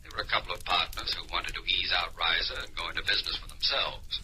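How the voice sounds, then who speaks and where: Low, matter-of-fact priest played by a man. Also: steady tone, fast, close to an everyday voice. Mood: grave, informative. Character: sober, knowing male priest, the detective's confidant. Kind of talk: 1940s radio drama acting. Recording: noisy old radio broadcast recording.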